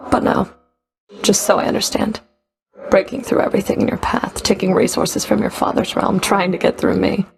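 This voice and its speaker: A woman voices a stern Fury who enforces the rules of the underworld in a video game. Her mid-pitched voice carries a marked creak. She speaks in a cool, clipped manner with dry, understated sarcasm. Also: darkish tone, natural voice. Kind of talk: video game character voice acting.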